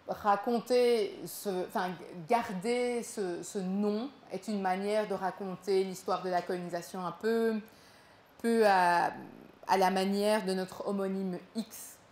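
A young woman who grew up in Belgium, telling her own story to a small audience in a gallery room, halting and repeating words as she goes. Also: lowish voice, darkish tone, roomy sound, a little hiss or hum. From Congo, raised in Belgium. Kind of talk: live spoken performance.

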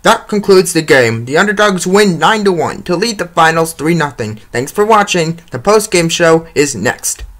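A man reading scripted narration and play-by-play for an animated hockey comic, in short announcer-like phrases. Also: a little high, moderate pace, hardly any pauses. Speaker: narrator and creator of a comic dub series.

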